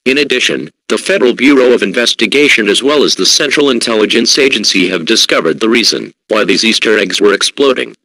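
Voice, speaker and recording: A man reading a formal scripted emergency broadcast as an announcer, in a measured, official and impersonal manner. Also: fairly low pitch, lively intonation, sharp tone, no echo, poor audio.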